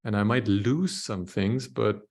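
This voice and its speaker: Man, lowish voice, reflective, measured, panel talk. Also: darkish tone. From Austria. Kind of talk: panel discussion.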